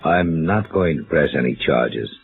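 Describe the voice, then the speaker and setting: A thin old radio recording with faint music holds a sombre lawyer. His voice is extremely deep, slow and subdued, gentle and full of regret. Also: expressive, gravelly, narrow, tinny audio, exaggerated voice. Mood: subdued, regretful. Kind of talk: 1950s radio drama acting.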